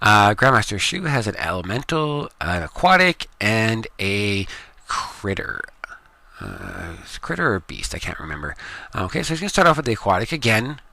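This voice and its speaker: Canadian guy rambling thru gameplay, lowish voice but bright and forceful, dry close sound. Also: moderate pace, vocal fry, dry recording. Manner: relaxed, rambling, many ums. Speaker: gaming video creator. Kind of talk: casual gameplay commentary. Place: home room with computers.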